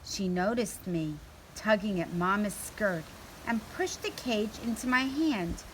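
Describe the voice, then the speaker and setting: A woman narrates a children's picture book with expression, acting out the lines of dialogue as she goes. Her voice sits at a medium pitch, and there is noticeable noise and room ambience behind her. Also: lively intonation, measured pace, rough recording. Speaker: story reader. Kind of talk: read-aloud children's storybook narration.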